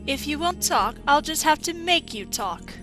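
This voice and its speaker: A woman playing a bitter, sharp-tongued robot engineer in a video game fan dub, brusque and mocking. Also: high-pitched, lively intonation, normal speed, music in the background. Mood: mocking, commanding.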